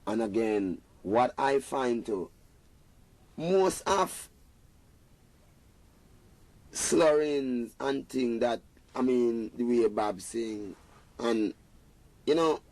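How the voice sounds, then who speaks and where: A man's voice: mid-range voice, animated, extremely slow, pauses often, darkish tone, no room sound, rough recording. A Jamaican man, a reggae singer being interviewed, talking in an earnest, rambling way with patois phrasing. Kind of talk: casual interview talk.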